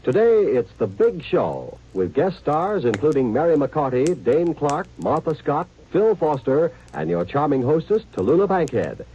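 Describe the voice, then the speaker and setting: Husky upbeat vintage radio announcer. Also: male voice, on the high side, big pitch swings, warm tone. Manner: brisk, upbeat promotional delivery. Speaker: network announcer. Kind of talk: 1940s radio promo announcing. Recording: dull vintage radio sound.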